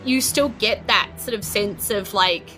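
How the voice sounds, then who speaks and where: A woman with a New Zealand accent, narrating as game master in a halting, searching way with many "likes". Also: medium pitch, bright, forceful tone, soft background music, rough recording.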